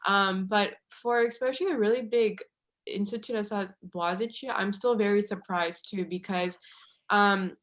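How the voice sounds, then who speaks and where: A young woman talking loosely and at length over a video call. She rambles and hedges, circling back to her points in casual panel talk. Her voice sits at an ordinary middle pitch. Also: steady tone, normal speed, a bit bright. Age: young adult. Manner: chatty, rambling, hedging. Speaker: university student panelist.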